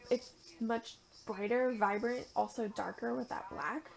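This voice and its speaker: Young woman, gravelly raspy voice, tired, chatty crafting tutorial, thinks out loud, murmurs to herself. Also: on the high side, steady tone, a little slow, poor audio. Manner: chatty, thinks aloud while working, drops to a murmur at times. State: tired at the end of a long day. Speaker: art journaling vlogger.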